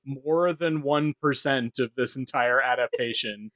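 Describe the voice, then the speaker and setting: A man's voice: mid-range voice, unhurried, a bit bright, no room sound. A man co-hosting a podcast in casual panel chat, talking informally and swearing freely. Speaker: podcast co-host.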